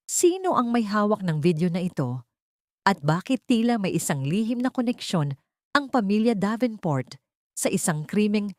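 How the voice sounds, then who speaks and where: A woman with a low voice narrates dramatic fiction. Her delivery is deliberate and theatrical, with melody that swings widely to build suspense, in a very dry, close sound. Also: darkish tone, no echo. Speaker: narrator of an audio story. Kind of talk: dramatic scripted storytelling narration.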